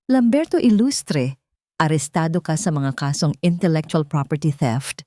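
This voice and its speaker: A stern police officer in a story drama, acted out by a woman. The delivery is formal and loud, in a dry recording. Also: sits low, sing-song, moderate pace, no echo.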